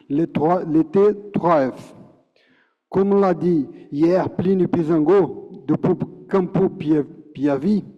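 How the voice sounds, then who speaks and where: A man's voice: sits high, mellow. A man from Brazil, speaking with a Brazilian Portuguese accent, gives a formal prepared speech at a conference, with a declamatory delivery and frequent self-corrections. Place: large conference hall. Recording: muffled conference hall reverb.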